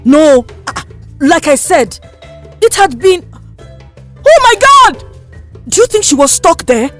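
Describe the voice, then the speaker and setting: A woman's voice: high voice, expressive, takes it slowly, darkish tone, soft background music, voice pushed up. A woman voicing an anxious, emotional female hostel matron, pleading in a dramatic story. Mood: uneasy.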